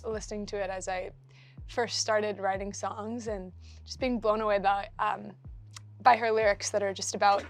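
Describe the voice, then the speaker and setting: A woman's voice: medium pitch, little pitch movement, normal speed, slight background noise. A young woman singer-songwriter talking earnestly and reflectively in an interview. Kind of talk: casual interview chat.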